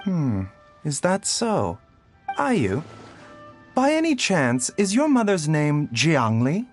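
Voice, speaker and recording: An actor playing a gentle, composed male doctor in a video game, speaking politely and soothingly in measured phrases. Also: fairly high pitch, huge pitch swings, soft background music, heavily acted. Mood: thoughtful. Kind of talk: English video game voice acting.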